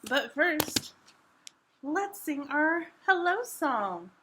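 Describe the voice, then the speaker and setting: A woman's voice: high voice, big pitch swings, drawn-out words, background noise. A woman reading picture books aloud to toddlers as a story-time host, with simple, emphatic, sing-song phrasing. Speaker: children's library story-time reader. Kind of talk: animated picture-book read-aloud for toddlers.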